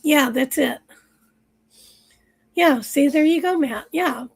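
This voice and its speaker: A woman hosting a livestream, chatting casually and rambling with viewers while her voice is going hoarse. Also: high voice, slow pace. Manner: chatty, rambling, lots of fillers. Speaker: livestream host. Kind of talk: casual chat with live chat viewers.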